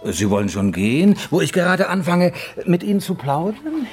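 An actor playing a smug, mocking and menacing husband in a radio crime drama, with a suggestive manner. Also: male voice, sits high, very animated, moderate pace, a little mellow, slightly rough, soft background music, heavily acted. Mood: dismissive, smug.

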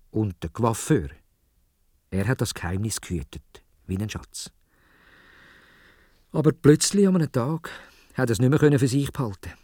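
A man's voice: lowish voice, darkish tone, no echo, studio quality. A man with a Swiss German accent telling a story for children in a dramatic way, addressing his listeners directly.

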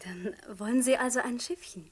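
A woman's voice: a little high, moderate pace, husky. An actress playing a polite, obliging shop saleswoman who is easily amused, in a 1960s radio comedy. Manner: courteous, obliging.

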